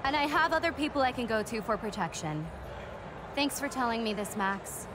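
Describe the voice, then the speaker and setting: High female voice, actress as cool proud teen queen bee, self-assured, guarded, game dialogue, noisy background. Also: expressive, moderate pace, lightly acted. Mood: guarded, softening. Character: proud popular teenage rich girl, guarded but softening. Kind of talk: video game cutscene dialogue.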